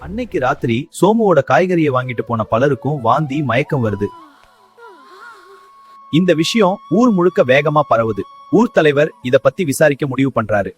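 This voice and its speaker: Male storyteller, highish pitch, animated intonation, scripted moral-tale delivery. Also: moderate pace. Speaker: narrator.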